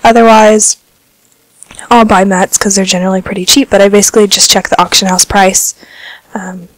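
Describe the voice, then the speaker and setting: A woman with a slight cold giving relaxed, chatty commentary over her gameplay, sounding a little congested. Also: medium pitch, normal speed, no echo, low-quality recording. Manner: relaxed, chatty, filler-heavy. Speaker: gaming vlogger.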